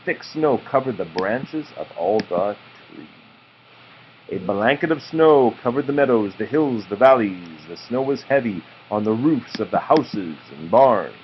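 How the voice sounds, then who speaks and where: A man reading a children's picture book aloud to his child in a slow, drawn-out storytelling way, with informal asides. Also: on the low side, lively intonation. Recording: muffled home recording with background noise.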